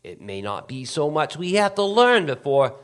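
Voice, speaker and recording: A man acting a feverishly excited, scheming artilleryman in a dramatic radio play, swinging from hushed to loud. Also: a little high, normal speed, clean tone. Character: fanatical scheming artilleryman survivor, hungry for power, feverishly excited.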